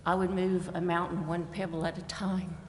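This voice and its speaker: An American woman speaking earnestly and deliberately as a council member in a public meeting. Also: on the low side, flat delivery, a little mellow. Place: indoor council chamber. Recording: echoey meeting chamber with noticeable background noise.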